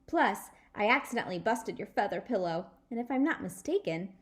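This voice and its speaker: A woman voicing an excitable, blunt little schoolgirl from a storybook, with a dramatic childlike manner. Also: mid-range voice, a little fast, natural voice. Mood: chatty, eager.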